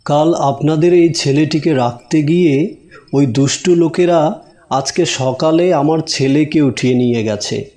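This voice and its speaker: A man acts out a principled, devout village teacher from a moral cartoon tale. He speaks very slowly, in a somewhat high male voice, with solemn sincerity. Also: dark tone, natural voice. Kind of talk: cartoon moral story dialogue.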